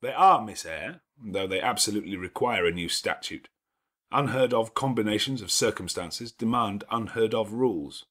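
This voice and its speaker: A brooding, middle-aged gentleman played by a male narrator from a classic novel audiobook. His speech is grand, emphatic and sardonic, with a domineering, teasing edge and a slightly husky tone. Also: on the low side, lively intonation, moderate pace. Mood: defiant, sardonic.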